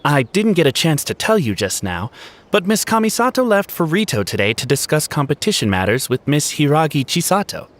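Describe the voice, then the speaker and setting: A man's voice: fairly high pitch, big pitch swings, brisk. An actor playing a friendly, polite, conciliatory young man in an anime game. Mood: apologetic, informative. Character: friendly, apologetic, easygoing young male event organiser. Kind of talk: anime game character acting.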